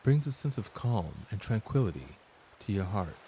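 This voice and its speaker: A man narrating a scripted guided meditation as its host, deliberate and soothing, leaving long pauses between short phrases. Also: fairly low pitch, big pitch swings, warm tone, a little hiss or hum.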